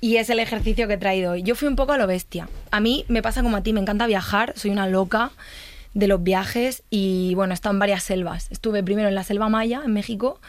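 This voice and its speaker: A woman's voice: mid-range voice, fast, no room sound. Woman psychologist, upbeat storyteller, dry close sound. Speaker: psychologist guest. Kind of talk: casual podcast chat.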